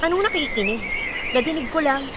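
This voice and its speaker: Shrill-pitched, jealous young Filipina ex. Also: female voice, expressive, brisk, very noisy. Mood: haughty. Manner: haughty, accusing. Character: jealous, confrontational young ex-girlfriend. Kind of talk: Filipino radio drama acting. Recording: loud music behind the voice.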